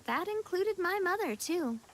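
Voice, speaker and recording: A woman's voice: very high voice, expressive, measured pace, slight background noise. A woman voicing a young woman president in a video game, grieving but resolute, speaking in a wistful, earnest way. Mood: candid, resigned. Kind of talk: video game story dialogue.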